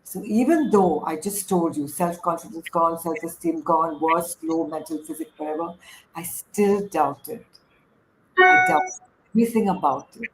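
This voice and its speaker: A woman's voice: lowish voice, very animated, unhurried. A middle-aged woman with an Indian accent hosting a livestream, speaking emphatically and often stacking phrases into lists as she tells personal stories. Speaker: host, life coach. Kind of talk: casual livestream talk, personal storytelling. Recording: clearly noisy room sound.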